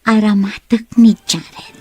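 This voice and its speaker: A woman acting a scheming, envious young woman in a radio drama, with a husky, theatrical delivery. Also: medium pitch, fairly even intonation, slow, warm tone, soft background music, close to an everyday voice. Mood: brooding, scheming.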